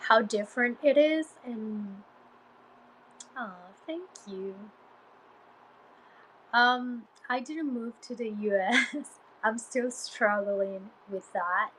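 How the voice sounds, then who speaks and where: A woman's voice: medium pitch, a bit bright, slight background noise, low-quality recording. A woman from Guatemala with a mild Guatemalan Spanish accent, chatting casually with viewers on a live stream, hesitant with many fillers and restarts. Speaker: Spanish teacher livestreaming.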